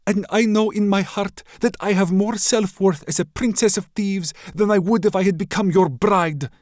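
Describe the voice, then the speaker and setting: A man voicing a defiant young princess, high, strained and urgent. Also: moderate pace, a little mellow, no echo, studio quality, close to an everyday voice.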